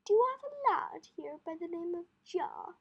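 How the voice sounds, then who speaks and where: Woman reading as a high-voiced, commanding old gentleman. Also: very animated, normal speed, highs cut off, exaggerated voice.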